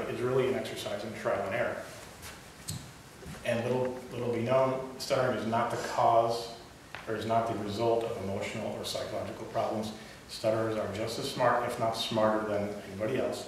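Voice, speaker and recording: A young man giving a prepared speech to a club audience, with occasional stutters and restarts. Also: fairly low pitch. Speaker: club speaker. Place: meeting room. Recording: echoey room from far off, hiss.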